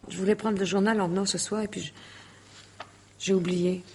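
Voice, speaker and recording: A woman's voice: medium pitch, steady tone, moderate pace, a little mellow, a little hiss or hum, lightly acted. A woman playing a nervy, impulsive lover in a French radio mystery drama, emotional and insistent, repeating her words. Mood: subdued. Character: nervy, impulsive woman lover, forced gaiety turning to fear.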